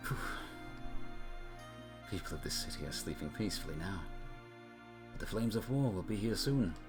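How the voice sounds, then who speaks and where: A man's voice: low-pitched, animated, moderate pace, loud music behind the voice. A man voicing a weary, earnest female city leader burdened by war in a video game, grave and reflective. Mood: somber, worried. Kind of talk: acting out video game dialogue.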